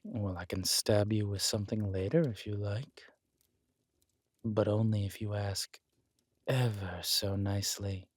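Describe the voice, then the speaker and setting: A man's voice: fairly low pitch, steady tone, unhurried, dry recording. A man playing a villainous conqueror king in a romantic roleplay audio, smug and flirtatious, teasing and haughty as he draws out his words.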